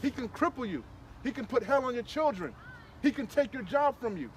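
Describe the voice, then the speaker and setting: High-pitched emphatic man preaching outdoors. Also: big pitch swings, a little fast, poor audio. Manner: emphatic, repetitive, slangy. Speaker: street preacher leading a scripture discussion. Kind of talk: fiery informal preaching, commenting on scripture read aloud. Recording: outdoor recording with clear traffic noise.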